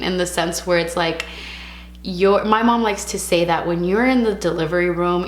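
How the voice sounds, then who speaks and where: A young woman talks frankly and casually in a slightly lower voice, a touch raspy from a sore throat, dropping in the odd Spanish word. Her voice bounces around an echoey room over a faint steady hum. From California. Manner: chatty, frank, sprinkles in Spanish words. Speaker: vlogger. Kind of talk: casual vlog talk to camera.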